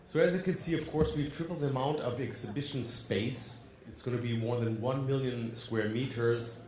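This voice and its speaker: Man, German-tinged English accent, conference speaker, upbeat, middle pitch, echoey hall. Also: moderate pace, a little mellow. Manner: upbeat, addresses the audience with questions. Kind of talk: conference presentation.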